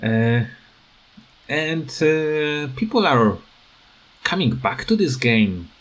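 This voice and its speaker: A tired man who is not a native English speaker, giving a casual unscripted rant in a halting, rambling way that repeats words. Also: on the high side, sing-song. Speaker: gaming video creator.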